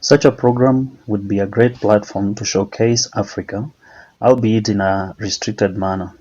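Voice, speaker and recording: Uomo che legge, audio un po' ovattato. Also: male voice, fairly low pitch, fairly even intonation, moderate pace, a little mellow, muffled recording. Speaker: contributor answering a question on African studies. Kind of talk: scripted essay read aloud.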